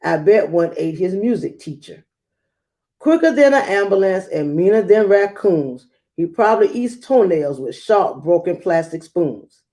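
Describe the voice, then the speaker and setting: A woman reading a rhyming children's picture book aloud, acting it out with theatrical emphasis and dramatic pauses. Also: fairly low pitch, lively intonation, mellow. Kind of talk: expressive rhyming storybook read-aloud.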